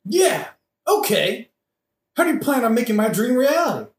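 In an echoey room, a man plays a hot-headed young noble hungry for revenge in a comic audio play. His voice swings widely in pitch, blunt and excitable, with casual modern wording. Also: moderate pace, full character voice. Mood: guarded, vengeful.

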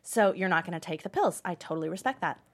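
Female actor as anxious, manipulative girlfriend for sitcom table read; wheedling delivery, lower-than-average pitch. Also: expressive, fast. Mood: breezy, coaxing. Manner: chatty, wheedling, overeager.

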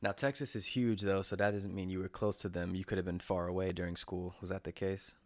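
A young man from North Carolina hosting a podcast, chatting in a relaxed, joking way with his guest. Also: deep voice, brisk, no echo. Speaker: podcast host. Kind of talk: casual interview chat.

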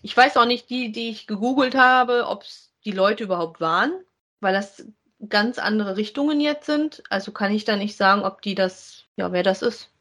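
A woman's voice: mid-range voice, normal speed, a bit bright. A woman chatting casually as a podcast co-host, making brief, plain remarks. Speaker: podcast co-host reviewing audio dramas. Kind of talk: casual chat, reviewing audio plays.

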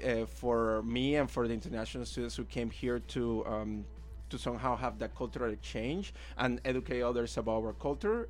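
A man's voice: medium pitch, a little mellow. Venezuelan man chatting in an interview. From Caracas, Venezuela. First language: Spanish. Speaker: interview guest, illustrator and art teacher. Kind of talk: casual interview, telling own life story.

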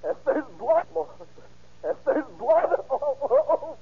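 An actor playing a dazed, defeated young man in an old radio crime drama, halting and evasive. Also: very high-pitched, sing-song, full character voice. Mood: agitated. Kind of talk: 1930s-40s radio crime drama acting. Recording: muffled old radio recording, faint noise.

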